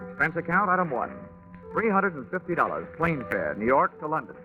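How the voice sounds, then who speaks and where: An actor playing a wry, hard-boiled American insurance investigator, dry and wisecracking, in old-time radio style. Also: male voice, fairly high pitch, very animated, brisk, phone-quality sound, loud music behind the voice. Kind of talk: old-time radio acting, first-person narration.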